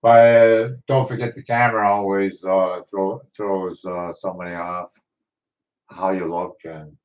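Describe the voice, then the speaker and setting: Older man rambling on a distant lo-fi mic. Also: lowish voice. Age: 60s. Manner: rambling, halting, trailing off quietly. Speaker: livestream host. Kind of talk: casual live chat with viewers. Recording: distant, dull lo-fi sound.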